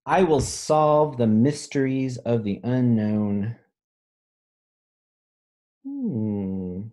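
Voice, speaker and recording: A man reading a children's picture book aloud as a teacher, pausing to think aloud and explain things simply. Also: mid-range voice, very animated, dark tone. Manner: pauses to pose questions and think aloud.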